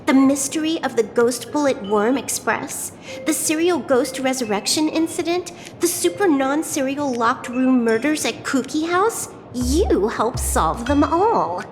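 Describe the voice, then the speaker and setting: A woman voicing a cool, wry young hacker outlaw in a video game, cheeky and unbothered with deadpan wit. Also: sits high, measured pace, big-room sound, music in the background. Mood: matter-of-fact. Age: young adult.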